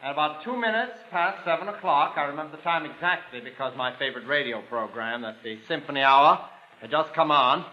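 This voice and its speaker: A man's voice: a little high, expressive, a little fast, close to an everyday voice. An actor playing a prim, precise male bank employee giving formal testimony in an old radio drama. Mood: earnest, exact. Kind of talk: 1940s-50s radio drama acting. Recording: muffled vintage radio recording.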